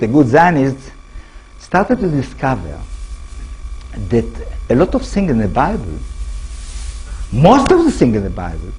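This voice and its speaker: A man with an Israeli accent, a native Hebrew speaker, giving a public talk as an author, animated and full of rhetorical questions to the audience. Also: medium pitch, breathy edge, low-quality recording. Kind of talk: public lecture.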